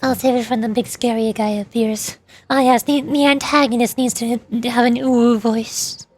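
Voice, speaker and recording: A woman's voice: a little high, fairly even intonation, moderate pace, a little mellow. A woman streaming a game, giving casual, rambling commentary as she thinks aloud. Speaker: streamer, VTuber.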